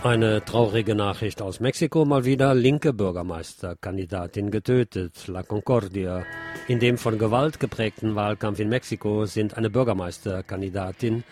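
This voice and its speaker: A man's voice: lowish voice, moderate pace, darkish tone. Man reading radio news over music. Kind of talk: reading scripted news commentary on community radio.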